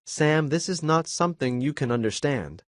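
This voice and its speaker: A man's voice: a little high, expressive, moderate pace, dry recording, studio quality, natural voice. A man voicing a worried, firm old grandmother in a storybook reading. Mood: scolding.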